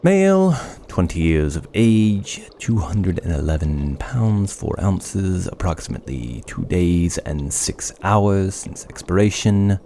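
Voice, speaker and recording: An actor playing an arrogant, obsessive young medical scientist in an audio drama, imperious and clinically precise. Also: male voice, low-pitched, sing-song, slow, hardly any pauses, dark tone, slight background noise, exaggerated voice.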